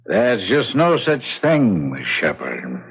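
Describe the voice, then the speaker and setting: Matter-of-fact american police detective guy, old radio show, husky, muffled recording, official but placating. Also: on the low side, wildly swooping pitch, takes it slowly, heavily acted. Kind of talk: 1970s radio drama acting.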